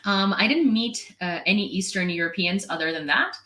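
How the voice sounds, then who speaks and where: A woman's voice: mid-range voice, lively intonation, normal speed, pushed and bright, reverberant. A young woman from Ukraine who speaks Ukrainian natively, frankly and sarcastically answering a viewer comment in casual vlog commentary. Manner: frank and sarcastic, with filler words and occasional swearing. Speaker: independent reporter and vlogger.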